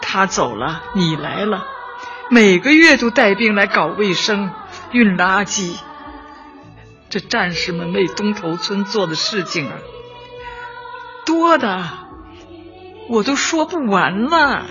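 Warm elderly woman, muffled, music behind. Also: medium pitch, lively intonation, slow, pauses often. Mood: fond, reminiscing. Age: older. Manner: affectionate, emphatic, sighing interjections. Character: warm, devoted elderly woman community party secretary. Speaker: actor in a radio drama. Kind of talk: Chinese radio drama acting.

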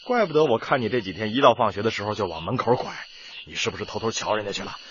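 A man's voice: lowish voice, lively intonation, very fast, a little hiss or hum, close to an everyday voice. An actor playing a brusque but fond young male tutor in a radio drama, teasing in colloquial, exclamatory speech. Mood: teasing, amused. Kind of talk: Chinese radio drama acting. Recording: dull lo-fi radio drama with music.

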